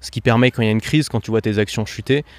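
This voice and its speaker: A man hosting a casual conversational interview, explaining investing ideas quickly with frequent fillers and restarts. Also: fairly low pitch, dry recording. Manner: fast, explanatory, peppered with fillers. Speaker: podcast host.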